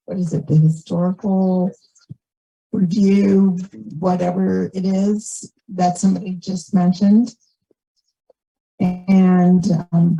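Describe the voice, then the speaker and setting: A woman's voice: fairly low pitch, creaky, mellow, slightly rough, rough recording. A woman resident giving an informal comment at a meeting, hesitant and searching for her words.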